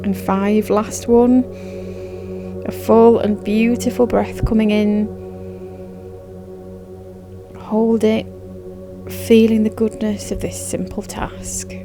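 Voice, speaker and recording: A woman narrating a guided meditation in a soothing, measured, instructive manner. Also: mid-range voice, level tone. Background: background music.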